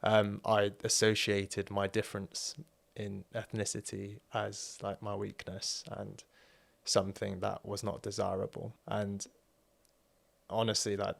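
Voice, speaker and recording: A man's voice: fairly low pitch, gravelly. A young man, born in South Korea and raised in Wales, speaks candidly as a guest and talks hesitantly with many fillers. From born in South Korea, grew up in Wales. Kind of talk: candid personal interview.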